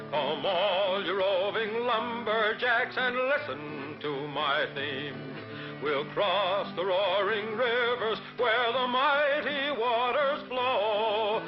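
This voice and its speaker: A man singing folk ballads as a folk singer. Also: high voice. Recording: dull vintage recording with music. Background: musical accompaniment.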